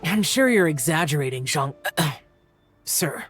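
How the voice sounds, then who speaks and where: Stern immortal guardian for a fantasy game: very low register, terse, formal, reserved, faint music. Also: female voice, expressive, measured pace. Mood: reverent, guarded. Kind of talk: anime game voice acting.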